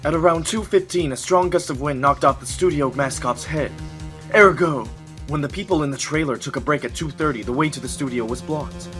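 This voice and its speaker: A man's voice: fairly high pitch, fairly quick, over music, natural voice. A man playing a smug, condescending young prosecutor in an amateur courtroom game fan dub, with haughty, dramatic, precise delivery. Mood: triumphant.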